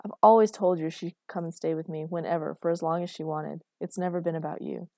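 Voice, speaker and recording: Woman as an earnest, put-upon father, mellow-voiced. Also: on the low side, fairly even intonation, fast talker, dry recording, studio quality, natural voice. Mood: angry, firm. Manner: earnest, protesting. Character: indignant, caring, put-upon father in his thirties. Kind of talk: audiobook character dialogue.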